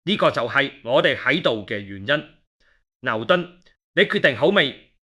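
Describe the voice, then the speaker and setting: A man's voice: medium pitch, lively intonation, normal speed, pushed and bright, breathy edge. A man acting an inquisitive, level-headed investigator who narrates and speaks in an audio drama. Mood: firm.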